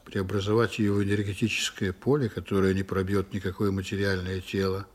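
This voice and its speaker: Husky, stern starship commander played by a man. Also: on the low side, lightly acted. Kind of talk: science-fiction audio drama acting.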